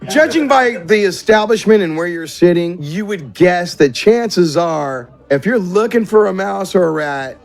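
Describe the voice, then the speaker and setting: Higher-voiced man narrating as game master. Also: lively intonation, unhurried, gravelly, few pauses. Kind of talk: improvised tabletop game narration. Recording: music behind the voice.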